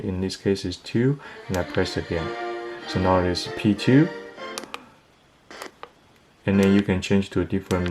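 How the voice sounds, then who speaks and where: Casual male product reviewer, low register, hesitant step-by-step explainer, light music bed. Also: darkish tone.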